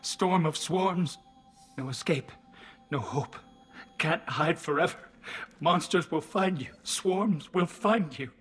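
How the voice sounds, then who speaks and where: A man's voice: fairly high pitch, slow, fairly bright tone, husky, faint music behind, heavily acted. A man voicing a delirious, frightened young alien pilgrim in a video game, with a thin, shaky voice and broken, repetitive phrases. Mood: frightened, muttering. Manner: broken, repetitive clipped fragments.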